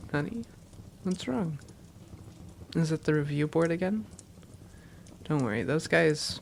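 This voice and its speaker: Woman playing creaky-voiced, affectionate vampire girlfriend. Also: low-pitched, a little hiss or hum. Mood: playful, affectionate. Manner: playful, tender, soothing. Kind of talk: intimate roleplay audio addressed to a partner.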